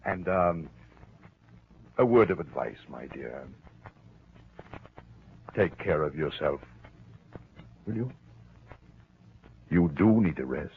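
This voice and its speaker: An actor playing a smooth, falsely solicitous American husband with something to hide, halting and insistent, in 1940s radio mystery style. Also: male voice, extremely low pitch, lively intonation, a little hiss or hum, heavily acted. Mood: patronizing, smooth. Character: smooth, evasive husband, suspected wife killer, falsely solicitous. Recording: muffled vintage radio broadcast recording.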